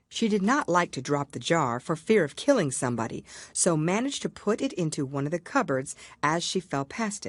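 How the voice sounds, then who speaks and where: Female narrator for children's audiobook: low register, animated intonation, expressive storytelling. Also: slightly rough, dry recording.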